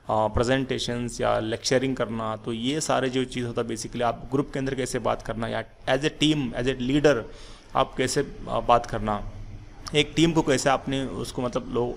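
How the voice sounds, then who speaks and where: Male teacher, gravelly, rambling slide lesson, faint room hum. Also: mid-range voice. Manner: rambling with many fillers and restarts. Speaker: English teacher.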